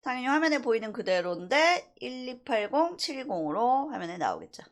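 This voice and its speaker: A woman instructor giving a casual software tutorial, talking through each step conversationally and checking in with short rhetorical questions. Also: fairly high pitch, very animated, fairly quick. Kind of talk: casual screen-recorded software tutorial, thinking aloud while demonstrating.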